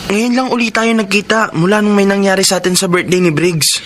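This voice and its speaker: A man's voice: high-pitched, fairly even intonation, a little fast, natural voice. An actor playing a callous, evasive young man in a radio drama, with a Filipino accent. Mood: startled, dismissive. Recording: faint ambient noise behind.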